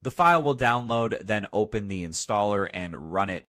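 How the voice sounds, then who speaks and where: Low-pitched man, dry tutorial narration. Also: lively intonation, moderate pace, a bit bright, no echo. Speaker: tutorial host. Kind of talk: scripted step-by-step software tutorial narration.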